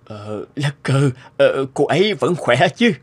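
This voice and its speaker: A man's voice: mid-range voice, very animated, measured pace, husky. An actor playing a hesitant, wistful elderly man in a cartoon. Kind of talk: cartoon dubbing. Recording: faint music behind the voice.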